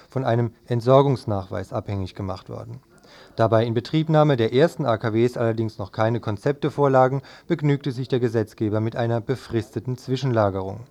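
Male news reader, medium pitch. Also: darkish tone. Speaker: news presenter. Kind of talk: scripted news reading.

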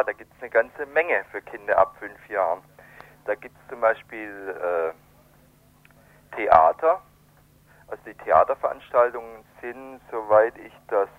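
Low-voiced man on a tinny phone line. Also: no room sound. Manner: halting, hesitant, searching for words. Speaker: event organiser, interviewed guest. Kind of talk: spontaneous radio interview by phone. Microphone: telephone. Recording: narrow tinny telephone line.

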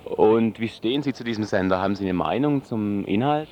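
A man's voice: lowish voice, fairly quick, a little mellow. A man working as a radio reporter, interviewing passers-by outdoors on a busy street. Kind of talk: vox pop interviewing. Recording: faint street noise behind voice.

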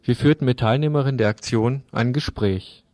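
A man's voice: medium pitch, a little fast, darkish tone, no room sound. A man reading scripted news introductions as a radio presenter.

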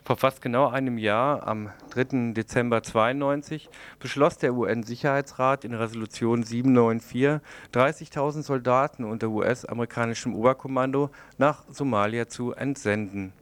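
Man presenting radio news in a middling pitch. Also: fairly even intonation, moderate pace, few pauses, no echo. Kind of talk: news reading and programme announcements. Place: radio studio.

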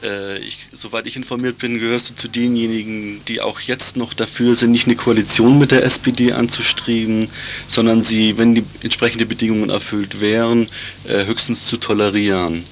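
A man interviewing on the radio about politics, framing his questions in a measured, formal way. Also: on the low side, level tone, a little hiss or hum.

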